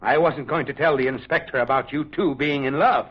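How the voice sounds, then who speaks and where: A husky voice of a male actor as a bitter servant in an old radio mystery, once a valet and now a gardener. He is sullen, suspicious and accusing, on a dull broadcast recording. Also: medium pitch, brisk, lightly acted. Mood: resentful, accusing. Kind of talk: radio drama acting.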